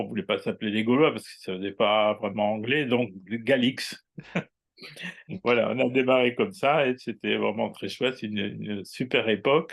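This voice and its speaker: Gravelly, husky older man in his sixties. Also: on the low side. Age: 60s. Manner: unhurried, hesitant, many fillers and tag words. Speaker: guest, writer and astrologer. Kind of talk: casual interview chat.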